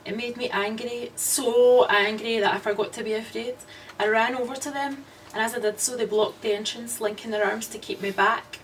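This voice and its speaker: Actress playing haunted female narrator, radio suspense, slow dread, high voice, off-mic old recording. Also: steady tone, fairly bright tone, recorded from a distance, a little hiss or hum, natural voice, poor audio. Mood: fearful, intense. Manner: slow, dramatic, dread-laden. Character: haunted woman narrating her own nightmare.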